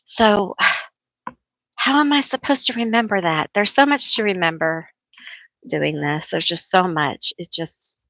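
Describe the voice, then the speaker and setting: A woman hosting a casual tarot live stream, chatty and teasing herself, laughing often and calling herself a loud yeller. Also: fairly low pitch, unhurried, no room sound, low-quality recording.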